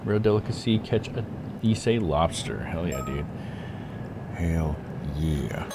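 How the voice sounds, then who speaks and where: A man's voice: sits low, lively intonation, takes it slowly, very noisy. A man streaming a video game, giving casual, slangy live commentary and muttering to himself with mild swearing. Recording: loud game music behind the voice. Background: video game music.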